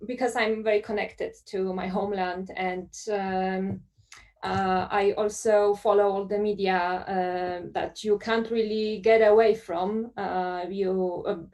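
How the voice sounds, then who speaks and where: A woman's voice: medium pitch, a little mellow. Young woman speaking from a distant mic. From Poland. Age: young adult. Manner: thoughtful, many fillers. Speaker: panelist. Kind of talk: online panel discussion.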